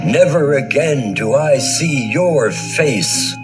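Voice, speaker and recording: A man playing a grave, resolute Hebrew prophet in a scripture dramatization, speaking firmly and deliberately. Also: medium pitch, expressive, over loud music. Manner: deliberate, firm.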